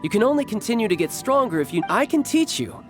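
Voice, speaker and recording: An actor playing a confident young warrior officer and doting older brother in a video game, with a teasing, theatrical manner. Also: male voice, sits high, big pitch swings, fast talker, over music. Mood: gentle, earnest. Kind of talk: game cutscene dialogue.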